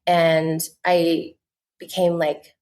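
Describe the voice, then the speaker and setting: A woman's voice: deep voice, monotone, very slow, a little mellow. A woman, talking casually as a podcast guest, earnest and full of "like" fillers. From United States. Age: 20s. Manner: chatty, many "like" fillers, earnest. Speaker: podcast guest, content creator. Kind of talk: casual interview talk.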